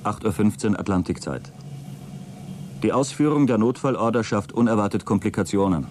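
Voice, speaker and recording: A man's voice: fairly low pitch, normal speed, darkish tone, slight background noise, close to an everyday voice. A man playing a prim, defensive astronaut with a stilted, pompous manner in a satirical science fiction radio drama. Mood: stiff, official. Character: prim, defensive male astronaut keeping a formal log.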